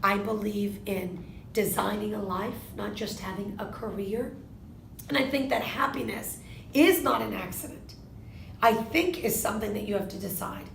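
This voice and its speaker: A woman in her fifties, born in Argentina, answering interview questions in a measured way, often posing a question to herself and then answering it. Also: medium pitch, gravelly, a bit bright, reverberant, slight background noise, poor audio. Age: 50s. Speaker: interviewee, lawyer.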